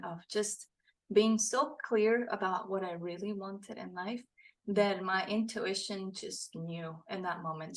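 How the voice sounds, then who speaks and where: A woman's voice: medium pitch, low-quality recording. A woman from Costa Rica, a podcast guest and author, telling her story in a casual interview with frequent laughs. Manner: earnest storytelling, laughs often.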